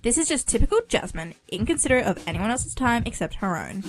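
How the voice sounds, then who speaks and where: A woman's voice: on the high side, very animated, fast talker, soft background music. A woman voicing a mean young wannabe-activist woman, scornful and complaining.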